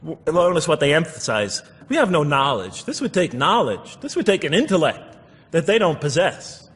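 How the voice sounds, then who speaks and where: A man's voice: on the high side, big pitch swings, moderate pace, husky. A man giving a public lecture explaining scripture, emphatic and repeating phrases for stress, in a large hall. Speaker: religious lecturer. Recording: echoey hall with long reverb.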